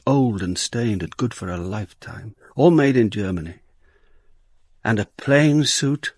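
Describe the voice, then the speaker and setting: An actor playing a world-weary, middle-aged police inspector, narrating his case in a measured, dry, reflective radio drama style. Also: fairly low pitch, breathy edge, dry recording. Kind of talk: radio drama, first-person detective narration.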